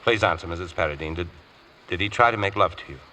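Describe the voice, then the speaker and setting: A man's voice: low-pitched, fast talker, bright, forceful tone. A man playing an eloquent, intense defence barrister in a vintage radio courtroom drama, speaking in a formal, pressing way. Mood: probing, insistent. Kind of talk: 1940s-50s radio courtroom drama acting. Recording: faintly noisy vintage radio recording.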